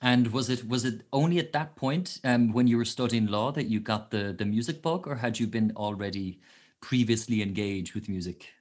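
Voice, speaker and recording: A man's voice: medium pitch, darkish tone. A man hosting a singing podcast, introducing his guest in a casual, hesitant way full of "um"s. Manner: hesitant, lots of "um", quick laughs. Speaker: podcast host.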